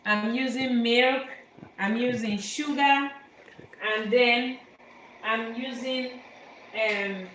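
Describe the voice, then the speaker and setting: A woman from Nigeria hosts a live cooking lesson, explaining each step in an unhurried, repetitive way with plenty of fillers. Her voice sits fairly high. The sound is roomy and echoey, with faint noise underneath from the kitchen. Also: fairly even intonation, fairly bright tone. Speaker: cooking show host, chef. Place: home kitchen.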